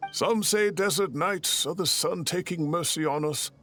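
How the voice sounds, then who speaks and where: A man's voice: on the high side, unhurried, a bit bright. An actor playing a fussy, kindly older male professor in a video game, chatty and theatrical with some mock grumbling. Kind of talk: animated video game voice acting. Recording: music under the voice.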